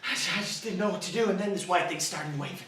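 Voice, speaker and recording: An actor playing a traumatized young war veteran who rants, veering between broken murmurs and yelling, in dramatic film acting. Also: male voice, high voice, very fast, distant mic, slight background noise. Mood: haunted. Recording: echoey room, voice from off-mic.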